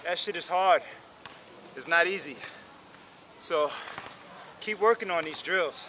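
A man in his thirties on an outdoor basketball court, talking straight to the camera in an energetic, slangy, encouraging way while tired from drills. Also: on the high side, slow pace, a little hiss or hum. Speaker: basketball vlogger. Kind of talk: casual coaching talk to camera. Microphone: camera mic close up.

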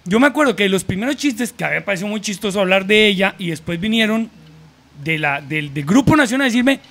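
High-voiced Costa Rican man in his forties. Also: fast. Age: 40s. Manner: animated storyteller, slangy, many restarts. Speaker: guest. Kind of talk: casual podcast chat. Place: small room with a mic on a table. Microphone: studio mic with headphones.

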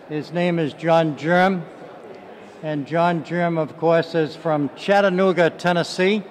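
An older man with a Boston accent hosting a live radio show, talking upbeat like an announcer with many fillers, at a desk microphone. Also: a little high. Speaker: radio host. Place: conference hall, indoors. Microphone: desk microphone, headphones.

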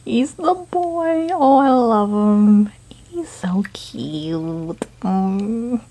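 A woman's voice: mid-range voice, expressive, drawn-out words, warm tone. A woman chatting casually in a playful, gushing, goofy way.

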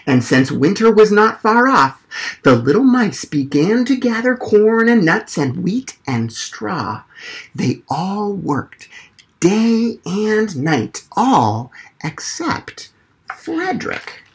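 A man in his thirties hosting storytime and reading picture books in an encouraging, expressive way to young children, recorded on a camera mic in a small room. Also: sits high, slow pace. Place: small decorated indoor room. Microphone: camera a short distance away. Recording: roomy echo from a camera mic.

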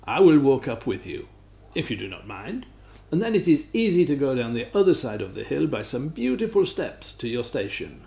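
A man's voice: mid-range voice, sing-song, normal speed, vocal fry, dark tone. A man voicing a polite, helpful adult Frenchman with a put-on French accent, courteous and eager.

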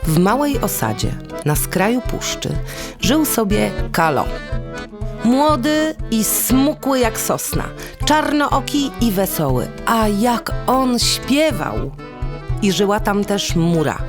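A woman storyteller narrating a folk tale dramatically, deliberately, with suspenseful pauses. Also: medium pitch, big pitch swings. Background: music.

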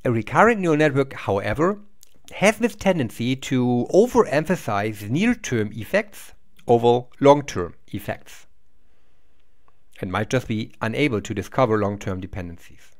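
A man's voice: medium pitch, big pitch swings, normal speed. A middle-aged man giving a recorded university lecture over slides, explaining step by step and often restarting words.

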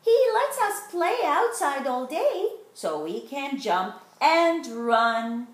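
A woman's voice: high voice, very animated, takes it slowly. A middle-aged woman reading a children's picture book aloud, stretching out rhyming words expressively. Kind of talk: animated children's read-aloud. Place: living room at home, indoors. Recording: roomy home recording.

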